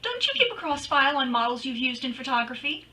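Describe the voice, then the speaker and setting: A woman acts out a brassy lady private eye from a radio mystery. Her high voice swoops animatedly through wry, slangy wisecracks, picked up from some distance off the microphone. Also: sing-song, quick pace, bright, forceful tone, full character voice. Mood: dry, wisecracking. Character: brassy, wisecracking female private detective, persuasive and breezy. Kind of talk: old-time radio detective acting and narration.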